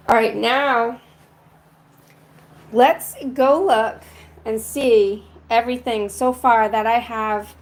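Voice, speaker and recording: Slow, raspy-voiced woman on a livestream. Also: on the high side. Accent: New England. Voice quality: hesitant, many ums and uhs. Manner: slow, walking through rooms listing items. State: raspy from being sick. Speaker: vlog host on a livestream. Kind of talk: casual livestream chat, reading comments. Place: apartment being packed up. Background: road noise.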